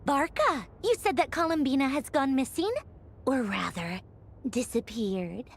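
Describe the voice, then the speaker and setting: A woman's voice: high-pitched, animated, unhurried, slight background noise. A woman playing a proud young female scientist villain in an anime game, haughty and theatrical. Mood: composed, curious.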